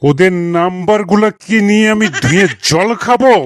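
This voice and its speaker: A man's voice: high-pitched, moderate pace, natural voice. Man acting an exasperated West Bengal village uncle. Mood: angry threatening. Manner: grumbling, sarcastic, scolding, coarse. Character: irritable, exasperated village uncle, scolding cheeky youngsters. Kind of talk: comedy cartoon dialogue.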